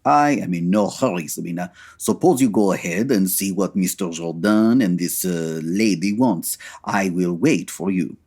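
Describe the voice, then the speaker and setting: Man playing a deep, husky big Frenchman. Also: normal speed, few pauses, a little mellow. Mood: smooth, patient. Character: big, suave, menacing Frenchman. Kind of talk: audio drama acting.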